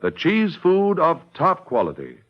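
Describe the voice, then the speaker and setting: A man's voice: fairly high pitch, huge pitch swings, measured pace. A man announcing a 1950s radio show and its sponsor with polished, folksy salesmanship. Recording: muffled vintage radio recording.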